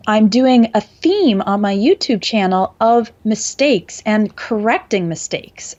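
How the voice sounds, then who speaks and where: A woman's voice: medium pitch, darkish tone, highs cut off. A woman speaking as a guest English teacher, explaining things conversationally.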